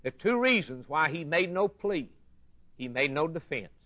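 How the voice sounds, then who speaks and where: A man's voice: fairly high pitch, sing-song, sharp tone. A man preaching a sermon as he expounds scripture, impassioned and emphatic, repeating phrases for weight. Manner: impassioned, emphatic, with deliberate repetition.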